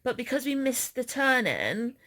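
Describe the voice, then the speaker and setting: A woman's voice: high-pitched, huge pitch swings, moderate pace, pushed and bright. A woman vlogger chatting casually in a giggly way. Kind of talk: casual chat.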